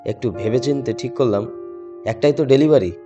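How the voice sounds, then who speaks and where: Mellow man telling horror stories. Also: mid-range voice, fairly quick, highs cut off. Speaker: first-person narrator. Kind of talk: scripted horror story narration. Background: background music.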